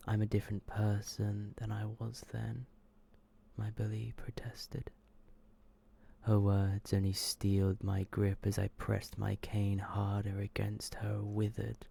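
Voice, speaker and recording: A man softly reading short horror stories in an ASMR style, slow and hushed, often slipping into a whisper. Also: on the low side, gravelly, husky. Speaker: ASMR video creator and host.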